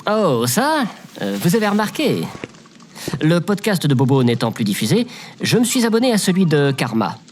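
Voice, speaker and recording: A man's voice: high-pitched, very animated, normal speed, slight background noise. A man playing a bitter, sarcastic space station operator in an audio comedy, with a dry, weary manner. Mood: awkward, deadpan. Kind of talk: scripted audio comedy fiction acting.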